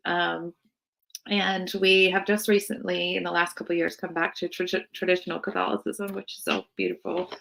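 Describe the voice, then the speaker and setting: Low-voiced American woman with creaky fry. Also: level tone, moderate pace, fairly bright tone, poor audio. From United States, Seattle area. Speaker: podcast guest, birth worker. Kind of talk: casual conversational interview.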